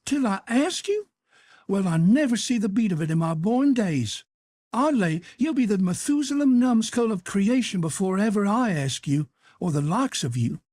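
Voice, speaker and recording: A man putting on a shrill, scolding aunt. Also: big pitch swings, normal speed, warm tone, no room sound, clean studio sound. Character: feisty middle-aged Southern aunt, woman.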